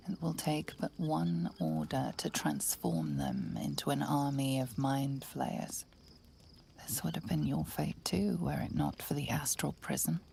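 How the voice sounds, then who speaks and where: Low gravelly woman narrating a game. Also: a little mellow. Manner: slow ominous storytelling, dropping to a whisper. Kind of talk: scripted narration. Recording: game audio with music underneath.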